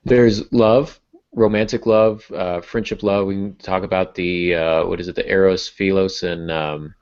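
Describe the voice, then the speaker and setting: A man with a distinctly low voice talks in a casual, explanatory way, as a host teaching writers would. The sound is somewhat muffled and lo-fi. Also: fairly even intonation, a little slow, darkish tone, clean tone. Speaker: podcast host and writing teacher. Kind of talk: casual explanatory podcast talk. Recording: dull, muffled lo-fi audio.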